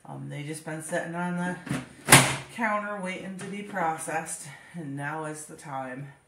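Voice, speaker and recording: A woman's voice: sits low, a little slow. A woman with a stuffed-up nose chatting casually through a kitchen demonstration, thinking aloud with fillers as she works at home. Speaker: home cook vlogger. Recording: echoey kitchen with background noise.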